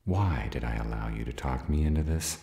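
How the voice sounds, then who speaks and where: Very deep, gravelly, hot-tempered were-dragon man acting. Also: expressive, a little fast, mellow, no room sound, heavily acted. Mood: impatient. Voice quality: gravelly, raspy. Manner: growling, impatient, blunt. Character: gruff, hot-tempered male were-dragon, fiercely protective of his mate. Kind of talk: audio roleplay drama acting.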